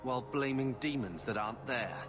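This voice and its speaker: A man's voice: medium pitch, brisk, long reverb tail, over music, lightly acted. An actor playing a brash, defensive male companion in a video game, speaking bluntly. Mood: blunt, dismissive. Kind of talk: dramatic video game acting.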